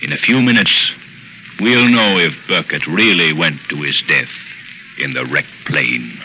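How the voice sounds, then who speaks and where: Casting: a crisp, determined gentleman sleuth for a muffled, noisy 1930s radio adventure serial. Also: rumbling low, a little slow. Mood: determined, confiding. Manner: crisp, earnest, assured. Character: resolute gentleman sleuth, calm adventurer and pilot. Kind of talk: 1930s radio drama acting.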